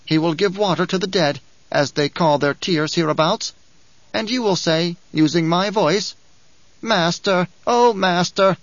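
A man's voice: high-pitched, unhurried, no room sound, dull audio, voice pushed up. A man reading an audiobook, voicing a whining, spiteful male dwarf who taunts and giggles. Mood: mocking.

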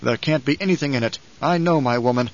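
A man's voice: mid-range voice, fast, dull audio, natural voice. A man voicing a proud, stern and weary nobleman in an audiobook, with an authoritative, clipped delivery. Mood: brooding.

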